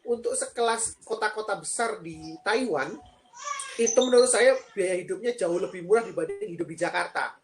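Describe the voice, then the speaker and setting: A man in his thirties from Indonesia, a guest on a live-streamed interview, explaining things earnestly with frequent pauses. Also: high-pitched, noisy background. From Lampung, Indonesia. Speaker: guest, PhD student and lecturer.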